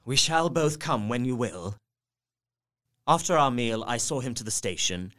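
An actor playing an earnest, newly resolute young husband, reading his journal in a measured, literary way for an audio drama. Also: mid-range voice, expressive, sharp tone, dry recording, studio quality. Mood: touched, reflective.

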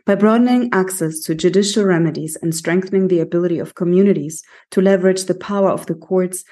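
A woman's voice: fairly low pitch, fast, warm tone. A woman hosting a podcast, presenting and putting questions to a guest. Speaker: podcast host. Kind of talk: scripted introduction and interview questions.

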